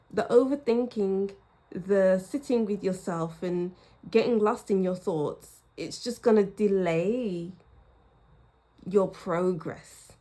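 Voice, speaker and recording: A woman giving a pick-a-card tarot reading, speaking in a reflective way and repeating phrases for emphasis, with a slightly croaky throat. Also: medium pitch, warm tone. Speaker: tarot reader.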